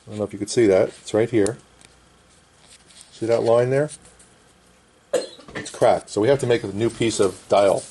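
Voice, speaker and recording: Middle-aged male restorer, slightly low voice, casual plain-spoken bench demo, faintly noisy camera sound. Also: normal speed, pauses often, mellow. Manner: plain, short repetitive explanatory phrases. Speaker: vintage radio restorer and presenter. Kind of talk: casual hands-on repair demonstration. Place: indoor workbench.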